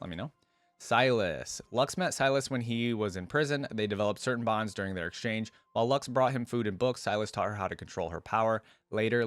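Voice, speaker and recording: A man's voice: fairly low pitch, no room sound. A man hosting a reaction video, reading aloud and adding casual commentary.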